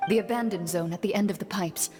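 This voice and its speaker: A woman's voice: mid-range voice, fast talker, natural voice. An actress voicing a cool, composed woman in a video game, understated and businesslike. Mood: cool, matter-of-fact. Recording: game music behind the voice.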